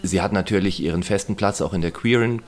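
A man giving thoughtful, deliberate remarks in an interview. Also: low voice, soft background music. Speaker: interviewed commentator.

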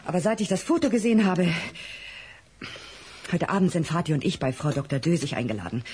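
A woman's voice: fairly low pitch, big pitch swings, fairly quick, mellow, a little hiss or hum. A woman playing an insistent, suspicious mother in a children's audio drama. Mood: suspicious, stern.

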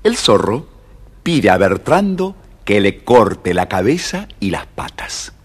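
A man with a husky voice narrating a scripted children's story, dramatic and speaking straight to young listeners. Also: lowish voice, very animated, slow pace, faint music behind.

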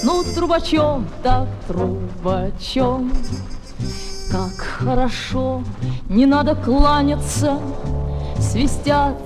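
A high female voice performs a storytelling ballad very slowly and expressively. Loud musical accompaniment runs with it on a vintage flexi-disc recording. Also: music in the background.

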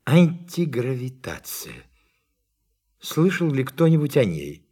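A man's voice: mid-range voice, very animated, takes it slowly, dark tone, breathy edge, full character voice. An actor in a Russian radio play playing a brusque, sceptical, inquisitive male professor who questions and doubts in a peremptory way. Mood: incredulous.

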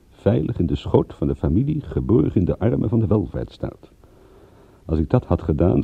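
An actor playing a wry, world-weary private detective in a radio drama, sardonic, with a gravelly, husky voice. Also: male voice, sits low, no echo, heavily acted. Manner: sardonic, dry-witted. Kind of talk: radio drama narration and dialogue. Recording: muffled, phone-like old radio recording.

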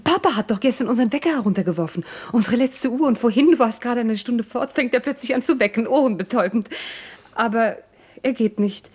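An anxious, breathy young wife. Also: female voice, sits high, animated, brisk, a little mellow. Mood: relieved, nervously chatty. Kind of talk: 1950s German radio drama acting.

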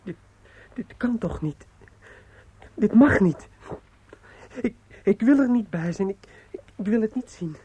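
A man's voice: high-pitched, animated, a little slow, pauses often, dark tone, a little hiss or hum. Anxious, hesitant young man. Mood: distressed. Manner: hesitant, stammering. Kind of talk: Dutch radio play acting.

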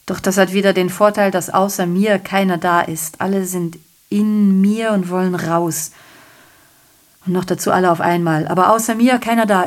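An actress playing a garrulous, self-pitying messenger in a German radio play, giving a digressive, theatrical monologue that keeps correcting itself. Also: female voice, mid-range voice, natural voice. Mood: indignant, aggrieved. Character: garrulous, self-pitying messenger reporting a night of revelry and shootings.

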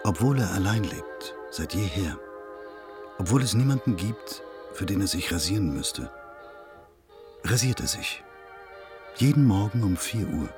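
A detached, sober storyteller with a deep voice. He narrates a radio drama in a deliberate, matter-of-fact way over faint music. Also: lively intonation. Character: detached, sober male narrator observing a loner.

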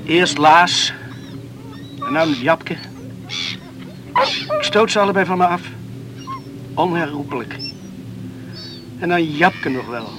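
High-voiced, restless young man. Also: slow pace, pauses often, heavy background noise. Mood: brooding, tender. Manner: brooding, agitated, talking to himself. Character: restless, torn young islander, brooding and self-reproachful. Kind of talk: radio play monologue.

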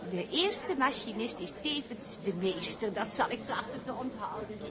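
An actress playing a naively curious high-society lady in a period drama, speaking in a genteel, affected, precious way. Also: lively intonation, normal speed, natural voice. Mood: intrigued. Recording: party crowd chatter behind.

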